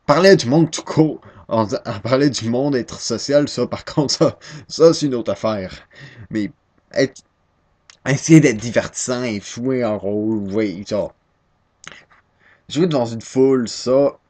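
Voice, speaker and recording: Casual young Québécois guy on a webcam, slightly low voice, hesitant, mocks himself. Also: a little mellow. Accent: Québécois French. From Quebec, Canada. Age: 19, young adult. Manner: self-deprecating, hesitant, many restarts. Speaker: first-time vlogger. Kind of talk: casual rambling webcam talk.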